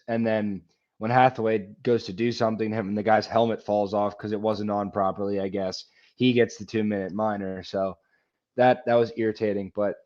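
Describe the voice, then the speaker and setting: A man with a fairly low voice chats casually about hockey as a podcast co-host. He is blunt and opinionated, and the sound is a little dull and lo-fi. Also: darkish tone. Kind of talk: casual hockey fan chat.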